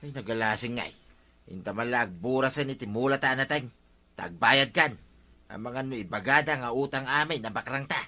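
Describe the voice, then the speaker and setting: A man's voice: medium pitch, brisk, sharp tone, close to an everyday voice. A man playing a resigned, muttering village husband in an Ilocano comedy drama.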